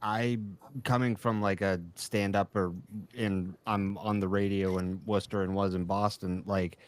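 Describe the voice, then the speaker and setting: A man's voice: sits low, flat delivery, a little slow. A man co-hosting a podcast, chatting casually and self-deprecatingly as he leads up to a question. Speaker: podcast co-host, comedian and radio personality. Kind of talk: casual chat.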